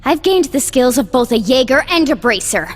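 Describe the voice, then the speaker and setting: A woman's voice: sits high, big pitch swings, normal speed. An actress playing a plain-spoken, loyal young woman fighter in a video game, determined. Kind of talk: English video game dub acting. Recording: echoey hall reverb.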